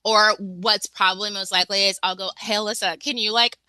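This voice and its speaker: Woman webinar host, bright and dry, casual video-call chatter with lots of "like"s. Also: medium pitch, steady tone, a little fast, clear, resonant tone, no echo. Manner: chatty, lots of fillers and restarts. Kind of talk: casual online webinar hosting.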